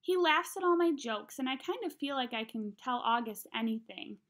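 A young woman with a slightly high voice reads a children's novel aloud. She is expressive and conversational, acting out the dialogue as she goes. She is recorded in a small room at home. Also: moderate pace. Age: young adult. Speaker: read-aloud narrator. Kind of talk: expressive audiobook-style reading of a children's novel.

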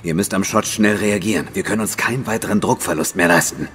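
An actor in an audio drama playing a tough, commanding spaceship officer under siege. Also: male voice, fairly low pitch, fast, a bit bright, a little hiss or hum, natural voice. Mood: steady, commanding.

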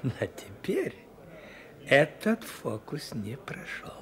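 An actor playing a wry provincial man who explains things deliberately and emphatically in a radio drama. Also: medium pitch, wildly swooping pitch, fairly bright tone, exaggerated voice. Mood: knowing, emphatic. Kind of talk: Soviet radio drama acting.

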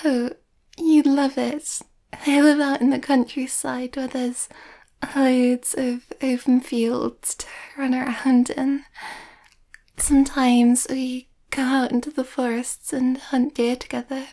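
Shy young werewolf girlfriend played by a woman for ASMR roleplay: high, mellow, nervous, breathy. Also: little pitch movement, takes it slowly. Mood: gentle, eager. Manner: hesitant, breathy, often near whisper, nervous.

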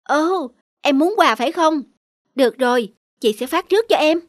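A woman voicing an eager, cheerful young female charity volunteer in an animated film. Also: high voice, normal speed, noisy background. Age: young adult. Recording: music under the voice.

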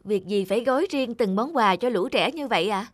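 A man's voice: high voice, expressive, fairly quick, no room sound, clean studio sound. A man voicing a deferential driver and assistant in a cartoon, polite and questioning. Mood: respectful, puzzled. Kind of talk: animated cartoon dubbing.

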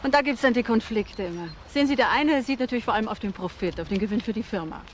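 A woman's voice: on the high side, expressive, fast talker, a little hiss or hum, close to an everyday voice. An actress playing a frank, forthcoming single mother being questioned in a German crime radio drama, in a conversational way. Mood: frank, explanatory. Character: frank, chatty single mother, office employee witness.

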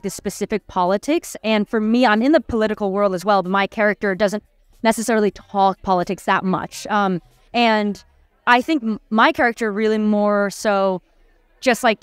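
A young woman in her twenties, an actor, answering red-carpet questions thoughtfully with many pauses, fillers and restarts, into a handheld mic. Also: medium pitch, smooth, no room sound. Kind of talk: casual red-carpet interview. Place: busy premiere red carpet, indoors. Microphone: handheld mic held by interviewer.

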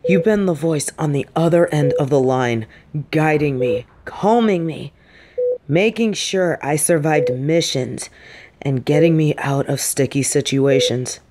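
A woman's voice: sits low, darkish tone. A woman acting a fiercely protective female field agent in an audio roleplay, scolding someone she loves in an emotional, emphatic way. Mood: hushed, tender. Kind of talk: intimate dramatic audio roleplay monologue.

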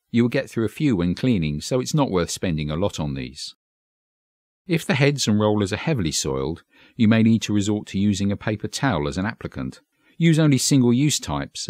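A man reading a scripted technical tutorial in a measured, methodical, formal way, as an instructor. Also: low-pitched, no echo, studio quality. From Britain. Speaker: instructor, audio engineer.

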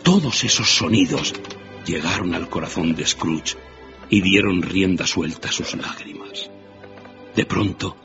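A man's voice: low-pitched, lively intonation, measured pace, creaky. A man narrating a scripted story in a dramatic storytelling style. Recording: muffled radio broadcast with prominent music.

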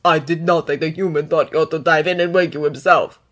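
A woman's voice: low voice, fairly even intonation, fairly quick, voice pushed down. A woman voicing a giant male dragon in an audio story, proud and emotional, with grand formal speech. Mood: relieved.

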